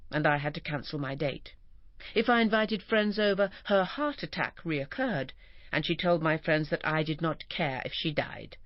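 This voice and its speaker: A woman narrating a first-person memoir expressively, turning breathy and whispered at moments of feeling. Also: low-pitched, dry recording. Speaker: audiobook narrator. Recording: dull, dry recording.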